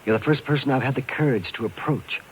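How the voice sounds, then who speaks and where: An American actor playing a desperate, guilt-ridden carnival man in 1950s radio style, halting and pleading. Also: mid-range voice, lightly acted, low-quality recording. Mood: anguished confession. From Illinois, United States. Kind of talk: 1950s radio drama acting. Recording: hissy old radio recording.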